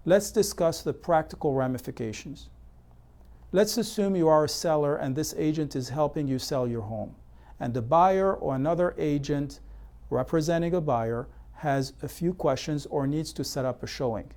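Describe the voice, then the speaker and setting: A man delivering scripted instructional narration as an educational presenter, in a measured, methodical, explanatory way. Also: fairly high pitch, warm tone. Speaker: presenter of real estate consumer education.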